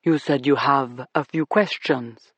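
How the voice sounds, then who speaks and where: A narrator doing a suave deep-voiced British man. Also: unhurried, a bit bright, no echo. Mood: smooth, evasive. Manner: suave, genteel, evasive. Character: cheerful man with deep British voice, smooth talker.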